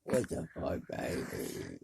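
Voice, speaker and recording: A man in his sixties with a rough, worn voice, talking slowly and easily in a casual chat at home. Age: 60s. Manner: slow, relaxed storytelling with drawn-out fillers.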